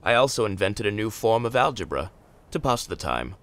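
A man's voice: lowish voice, big pitch swings, brisk, a bit bright. A man playing a scornful, cunning, very old long-lost brother in a theatrical comic dub, with dry, exasperated menace. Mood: cold, reminiscing.

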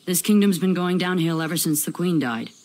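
A woman's voice: on the low side, animated, a little fast, a little mellow, lightly acted. A woman playing a brash, money-minded tradeswoman in a video game, talking in a blunt, folksy way. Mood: weary, friendly. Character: gruff, plain-spoken adult tradeswoman, brash and money-minded. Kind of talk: video game character acting.

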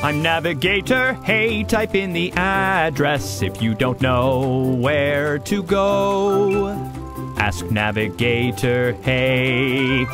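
A confident talking gadget from a children's cartoon gives helpful directions. The voice is low and instructive, set against strong background music. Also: female voice, expressive, extremely slow, full character voice. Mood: proud, helpful.

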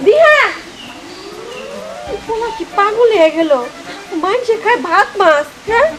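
A woman playing an exasperated village mother in a comic skit, scolding with sharp rhetorical questions. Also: very high voice, big pitch swings, measured pace, heavily acted. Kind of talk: low-budget comic skit acting. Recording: noisy, with animals and outdoor ambience.

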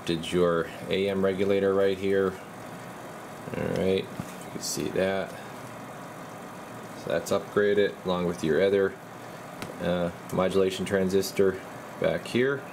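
Man, deep creaky voice, informal technician walkthrough, thinking aloud, noticeable background noise. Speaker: radio repair technician presenting his work. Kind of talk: casual hands-on repair demonstration.

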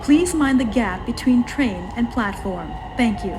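A woman reading scripted train station announcements in a formal, measured and clearly enunciated manner. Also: fairly high pitch, lively intonation, over music. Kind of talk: scripted public transit announcement. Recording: train announcement with loud cabin noise. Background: train running noise.

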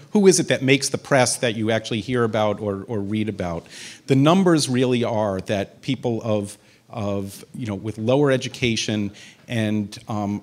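A man in his fifties, a physician, giving a conversational public talk in a community center room, speaking into a handheld microphone with many fillers and restarts. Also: on the low side. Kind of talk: public lecture with audience questions.

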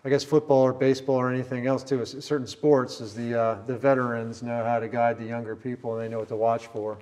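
A man's voice: mid-range voice, flat delivery, fast talker, a little mellow. A middle-aged American man chatting casually as an interviewer, thinking aloud and rephrasing as he goes. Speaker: interviewer touring as visitor. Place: large indoor tower room. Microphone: clip-on mic on the chest.

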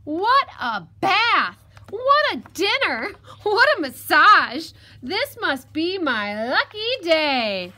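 Little storybook piglet, female reader: very high, lively swoops, pleading, polite and crafty. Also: unhurried, full character voice. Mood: smug, gleeful. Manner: pleading, squealing, coaxing. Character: small young male piglet, wheedling, polite, cunning. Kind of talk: children's storybook character voice.

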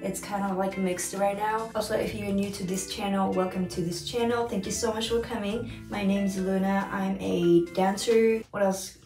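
A young woman from Japan, a native Japanese speaker, chatting casually to her phone camera, with many "like" fillers and quick self-corrections. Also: mid-range voice. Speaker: vlogger and pro dancer. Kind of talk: casual vlog chat to camera. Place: bathroom, then parked car.

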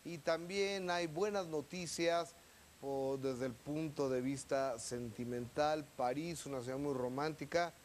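A man's voice: fairly high pitch, steady tone, unhurried, a little hiss or hum. Eager male TV host. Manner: eager, prompting interviewer. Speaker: TV entertainment show host. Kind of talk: TV interview and presenting. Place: TV studio. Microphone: lapel mic.

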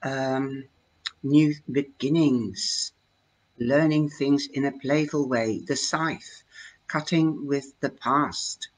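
An older woman with a Dutch accent, talking slowly into her webcam at home and explaining her fortune-telling cards in a chatty, hesitant way. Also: rumbling low, fairly even intonation, slight background noise, rough recording. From Netherlands. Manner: slow, chatty, with many hesitations. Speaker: tarot reader. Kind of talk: live-streamed card reading talk. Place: home room, indoors. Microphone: webcam at desk.

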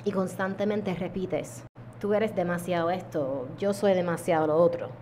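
A woman, calmly teaching a mindfulness lesson to camera, with deliberate pauses. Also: lowish voice, slight background noise. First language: English. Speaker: yoga teacher. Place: indoor room at home. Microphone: small handheld lavalier mic held close.

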